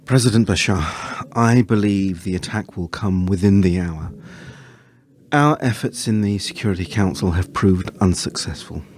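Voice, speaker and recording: An actor playing a grave, formal government official in a time of crisis in a radio play. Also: male voice, low-pitched, a little mellow, close to an everyday voice. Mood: grave, resigned. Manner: formal, measured.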